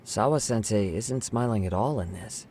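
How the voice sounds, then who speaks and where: An actor playing a young investigator sidekick in an English game dub, informal and full of questions. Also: male voice, fairly low pitch, normal speed, mellow, a little hiss or hum, natural voice. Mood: curious. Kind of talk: English video game dub cutscene acting.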